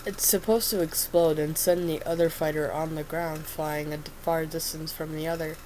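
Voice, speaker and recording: A woman's voice: low voice, level tone, normal speed, a little mellow, slight background noise, close to an everyday voice, rough recording. A woman reading as a wry doctor narrator. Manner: plain first-person storytelling. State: stuffy nose.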